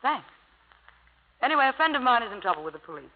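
A cool young society dame, dry and unruffled. The voice is high, in 1950s radio style, through a tinny broadcast with a reverberant ring. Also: female voice, very animated, quick pace. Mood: cool, guarded. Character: cool, self-possessed, world-weary young society woman. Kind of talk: 1950s radio drama acting.

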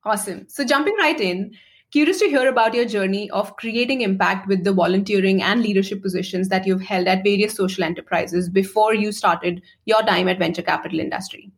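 A woman's voice: mid-range voice, brisk. A young woman co-hosting a student podcast, reading a prepared introduction and putting questions to a guest.

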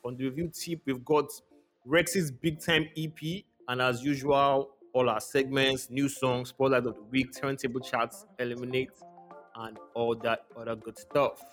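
A man hosts a podcast in loose, chatty talk and laughs easily. His voice sits at an ordinary pitch. Faint music plays under him. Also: level tone, moderate pace, a bit bright. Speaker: podcast host. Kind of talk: casual podcast chat.

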